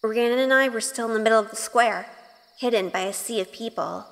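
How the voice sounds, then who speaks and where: A woman's voice: fairly high pitch, fairly quick, lightly acted. A woman playing a sweet, anxious young witch in an audio drama, earnest and hesitant, also narrating. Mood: wistful narration. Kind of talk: audio drama acting with first-person narration.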